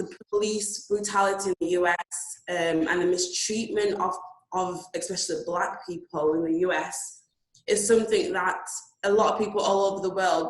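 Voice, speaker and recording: A woman from Zimbabwe speaks earnestly and fluently in a slightly low voice. Her words sound in a roomy, echoing space. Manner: earnest, fluent, with "you know" fillers. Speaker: interviewee. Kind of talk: casual spoken reflection.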